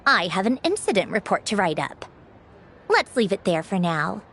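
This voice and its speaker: A woman's voice: mid-range voice, big pitch swings, normal speed, fairly bright tone, slight background noise. An actress playing a dutiful woman official in a video game, practical and matter-of-fact. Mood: businesslike.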